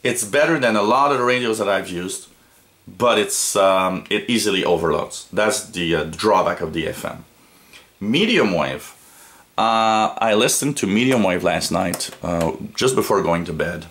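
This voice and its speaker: A man giving an unscripted product review as a reviewer, in a halting way with frequent pauses. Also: low voice, fairly bright tone. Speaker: radio reviewer. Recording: noticeable background noise.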